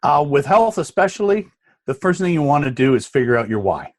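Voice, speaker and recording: A man's voice: fairly high pitch, sing-song, a little fast, no echo. Punchy motivational middle-aged man. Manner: emphatic, motivational, speaks in punchy lists. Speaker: guest, mindset coach. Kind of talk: podcast interview.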